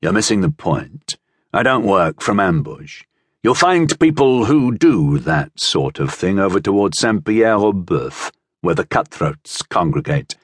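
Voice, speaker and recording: A man's voice: deep voice, big pitch swings, unhurried, husky, no room sound, clean studio sound, heavily acted. A man voicing a proud, blunt sellsword of minor nobility in an audiobook adventure. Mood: proud scorn. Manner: blunt, scornful, firm.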